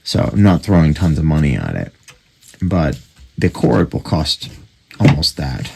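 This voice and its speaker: A man's voice: very deep voice, big pitch swings, measured pace, darkish tone. A man casually narrating hands-on repair work and thinking aloud in an offhand, matter-of-fact way. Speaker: radio repair hobbyist and video host. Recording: clearly noisy, with clicks.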